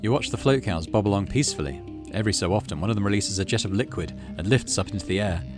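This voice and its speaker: A man's voice: fairly low pitch, fast, music in the background. A man doing let's play commentary, reading story text aloud and muttering through his decisions as he thinks aloud. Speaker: game streamer, let's play host.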